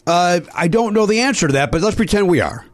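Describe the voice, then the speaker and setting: A man's voice: sits high, very animated. A man hosting a comedy podcast, joking and teasing his guest in casual chat. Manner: joking, teasing, quick laughs. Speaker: podcast host.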